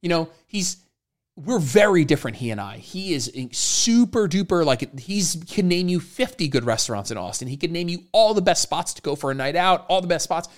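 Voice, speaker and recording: A man's voice: fairly high pitch, lively intonation, brisk. A young man hosting his own fitness podcast, talking loosely and rambling, with many fillers and restarts. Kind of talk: casual solo podcast monologue.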